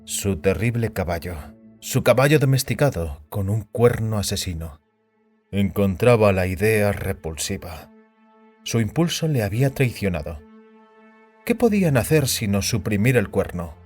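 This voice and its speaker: A man's voice: on the low side, expressive, moderate pace, darkish tone. A man narrating a scripted fiction story as a dramatic audio production. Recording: music bed behind the voice.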